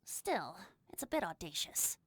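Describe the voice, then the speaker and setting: A woman's voice: fairly low pitch, very animated, measured pace, husky, exaggerated voice. A woman voicing a whimsical young male traveling bard with a playful, theatrical, flowery way of speaking in an anime game. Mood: playfully theatrical. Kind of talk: anime video game voice acting.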